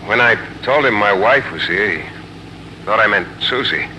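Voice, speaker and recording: Tense, low-voiced troubled radio husband. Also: male voice, animated, a little slow, sharp tone, full character voice. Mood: affectionate, hesitant. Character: troubled, haunted husband, wry and affectionate. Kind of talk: 1940s radio drama acting. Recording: noisy, humming 1940s radio broadcast.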